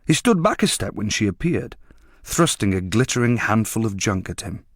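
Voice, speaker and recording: A man narrates a literary story in a low voice. His reading is lively and varied in pitch, recorded dry and close. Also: moderate pace, no room sound.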